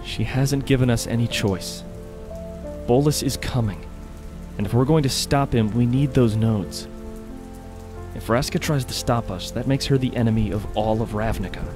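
A man's voice: mid-range voice, moderate pace, over music, close to an everyday voice. A man playing a weary, determined mage in a fantasy audio drama. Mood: grave, firm.

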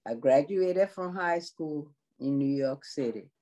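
Slow, mellow-voiced Liberian woman. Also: deep voice, lively intonation. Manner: slow, earnest, measured. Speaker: nursing assistant in long-term care. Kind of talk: interview on video call. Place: small room indoors. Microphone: video call.

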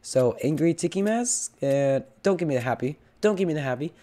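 A young man with a hoarse, cracking voice from illness, chatting casually as he opens loot boxes and pleads for better luck. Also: fairly high pitch, expressive, fairly quick, mellow. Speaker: gaming channel host. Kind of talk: casual game commentary.

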